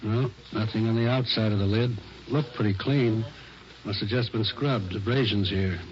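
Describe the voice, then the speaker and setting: An actor playing a methodical, unhurried police crime lab technician, muttering terse observations in 1940s radio drama style. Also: male voice, on the low side, lightly acted. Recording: muffled, noisy 1940s radio recording.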